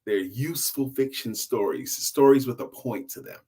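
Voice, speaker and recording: A man's voice: mid-range voice, moderate pace, mellow, slightly rough. Male storyteller, middle-aged. Conversational and emphatic, playing to listeners, acting out bits of dialogue. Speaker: storyteller and sales coach. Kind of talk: casual storytelling to an audience.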